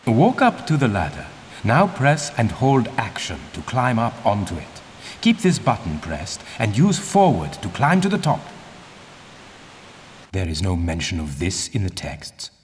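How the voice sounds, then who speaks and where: An older, stern archaeologist guides a student in a video game. His voice is low and rolls widely in pitch. He is formal, pompous and condescending, echoing in a long cavernous reverb. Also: huge pitch swings, measured pace, slight background noise. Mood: measured, instructive. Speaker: mentor and guide. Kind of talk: video game dialogue and tutorial instruction.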